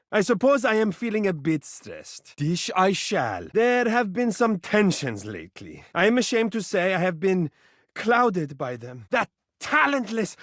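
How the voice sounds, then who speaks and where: A living mirror from a video game, vain and lavishly affectionate. His voice sits high and swings theatrically up and down. He speaks in pompous, romantic flourishes, recorded close and dry. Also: fairly bright tone, no echo, heavily acted. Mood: wounded, tender, then indignant, dramatic. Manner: flamboyant, pompous, grandly romantic phrasing.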